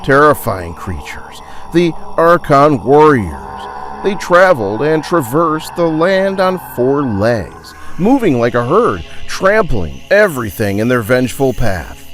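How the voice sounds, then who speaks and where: Male storyteller for audio fantasy drama: theatrical, lively mid-range delivery, building suspense, over a light music bed. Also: mid-range voice, a little slow. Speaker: narrator. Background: background music.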